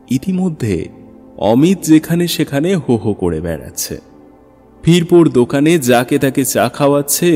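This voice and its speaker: A male storyteller with a mellow tone and a widely moving pitch. He narrates literary fiction in measured, expressive phrases, in long reverb over music. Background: background music.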